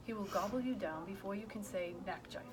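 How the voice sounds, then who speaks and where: A woman's voice: mid-range voice, a little fast, noisy background, natural voice. A woman voicing a big, kindly, sly male giant in a storybook, with quaint broken grammar. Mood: confident, amused. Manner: quaint ungrammatical speech.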